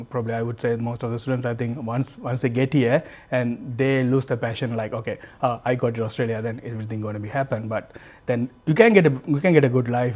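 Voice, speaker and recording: A man's voice: mid-range voice, darkish tone. Sri lankan guy in his 30s on a podcast, earnest, keeps restarting his sentences. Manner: earnest, repeats and restarts phrases. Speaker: guest, candle business founder. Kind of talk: podcast interview, casual.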